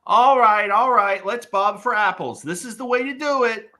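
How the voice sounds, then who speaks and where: A performer voicing a loud, bossy, crabby little cartoon girl in a live comedic table read, brash and emphatic. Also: medium pitch, moderate pace, lightly acted. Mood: bossy, pleased.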